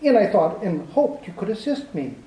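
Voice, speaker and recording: A prim, mincing gentleman crook in an old-time radio play, voiced by a woman. He talks in a formal, hesitant way, with a mellow, soft tone. The recording sounds roomy and echoey. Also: mid-range voice, sing-song, normal speed, far from the mic, lightly acted. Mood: ingratiating. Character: fussy, overly polite male foreign gentleman crook. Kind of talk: amateur 1940s-style radio drama acting.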